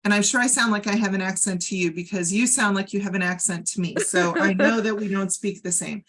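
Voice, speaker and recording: A woman with a General American accent, talking in a measured, explanatory way as a co-host in a casual podcast conversation. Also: mid-range voice, fairly even intonation, fairly bright tone. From United States, Ohio.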